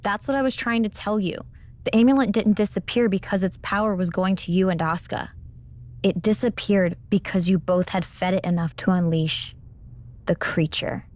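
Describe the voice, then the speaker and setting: A woman playing a blunt, knowing mentor in a video game cutscene, explaining in a measured, pointed way. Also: medium pitch, a little mellow, close to an everyday voice. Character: knowing, blunt female mentor in a supernatural wrestling drama.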